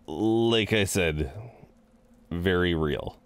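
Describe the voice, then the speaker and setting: A man playing an amused, seductive incubus in an intimate roleplay audio drama, teasing and soothing in an unhurried, halting way. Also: deep voice, clean tone. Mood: calm, curious. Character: amused, reassuring, seductive male incubus innkeeper.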